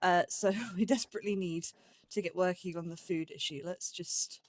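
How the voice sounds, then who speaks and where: A woman's voice: on the low side, fairly even intonation, normal speed, a little mellow. A woman giving casual let's play commentary as the host of a gaming series, rambling and thinking aloud as she goes.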